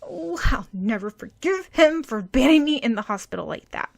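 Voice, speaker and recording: A woman voicing a bossy, hot-tempered teenage girl with a broken leg, shrill and indignant. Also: very animated, a little fast, gravelly, exaggerated voice.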